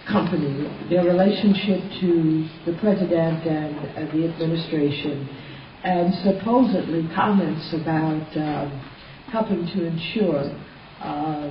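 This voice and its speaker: A woman questioning a witness as a congresswoman at a formal hearing, with careful, hesitant phrasing. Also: low-pitched. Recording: roomy, distant, dull lo-fi hearing audio, faint noise.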